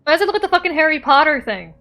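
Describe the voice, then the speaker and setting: A woman's voice: sits high, very animated, rapid-fire, pushed and bright. A woman streaming a video game with casual, playful commentary, blunt and full of exclamations. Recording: game music behind the voice. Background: game music.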